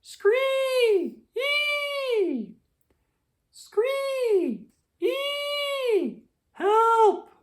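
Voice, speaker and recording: Woman putting on a shrill, high-pitched panicky rabbit. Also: big pitch swings, very slow, full character voice. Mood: panicked.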